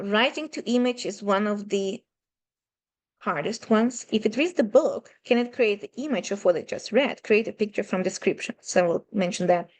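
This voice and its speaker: A woman with a Russian-Ukrainian accent giving an online math seminar lecture from home, talking conversationally and asking rhetorical questions. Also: mid-range voice. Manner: conversational, asks rhetorical questions, non-native phrasing. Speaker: math teacher. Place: home room with a running aquarium.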